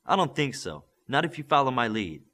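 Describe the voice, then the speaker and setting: Casting: male reader as hero's mentor, instructive and commanding, lively pitch, encouraging. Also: fairly quick. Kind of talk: audiobook character acting.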